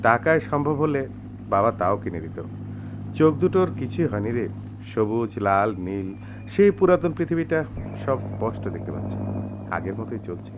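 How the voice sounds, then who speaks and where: A dull, very noisy old radio play recording carries a man acting a melancholy young man. He is bitter and wounded, and he speaks in a wistful, poetic, theatrical way at a middle pitch. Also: big pitch swings, moderate pace, long pauses, natural voice. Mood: resigned, wistful. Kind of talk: Bengali radio drama acting.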